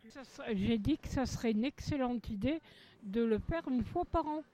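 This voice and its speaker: A woman's voice: on the high side, expressive, moderate pace, warm tone. A woman chatting casually in an interview, searching for her words with lots of fillers. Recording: dull lo-fi recording.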